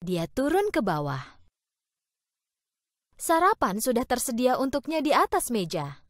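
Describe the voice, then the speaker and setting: A woman narrating a cartoon fairy tale in an expressive, storytelling manner over background music. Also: fairly high pitch, measured pace, no echo, clean studio sound.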